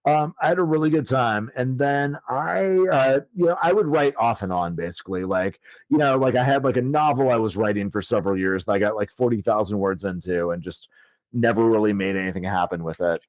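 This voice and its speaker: A man's voice: lowish voice, a little fast, no room sound. A man in his thirties, an author guest telling his story in a casual, rambling way with many fillers and easy swearing. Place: podcast studio.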